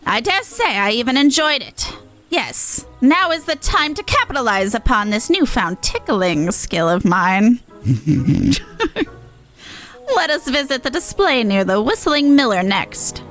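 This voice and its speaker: A woman's voice: a little high, big pitch swings, unhurried, a bit bright, faint music behind. A woman voicing a male monster clown from a video game, with theatrical, old-fashioned courtly phrasing. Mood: puzzled, pleased. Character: male monster clown, gruff-named, theatrical, eager to scare.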